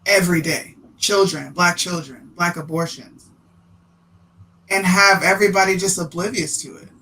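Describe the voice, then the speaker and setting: A man's voice: high-pitched, fairly even intonation, normal speed, bright, forceful tone, a little hiss or hum, rough recording. A man who hosts a podcast, making his points emphatically in a casual discussion. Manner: emphatic, argumentative. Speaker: podcaster guest.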